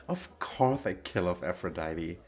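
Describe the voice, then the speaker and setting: Man playing a slow, vain male model. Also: low voice, darkish tone. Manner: boastful, theatrical, coquettish, with drawn-out pauses. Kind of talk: flirtatious ASMR roleplay monologue.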